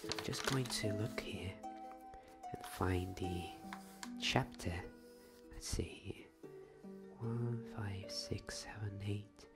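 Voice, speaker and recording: A man hosting a soft-spoken ASMR bedtime story reading, hushed and often drifting toward a whisper. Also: lowish voice, slow, loud music behind the voice.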